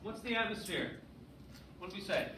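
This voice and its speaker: A man's voice: sits high, animated, normal speed. A man teaching a grade school English class, often checking understanding with short questions. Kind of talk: classroom lesson with read-aloud. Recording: echoey, noisy room, distant mic.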